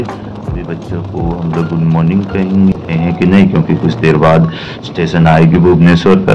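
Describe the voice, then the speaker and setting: Deep-voiced guy from jharkhand narrating a train trip vlog, dull lo-fi phone sound, loud music under it. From Jharkhand, India. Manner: steady, explanatory tour-guide narration. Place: moving train.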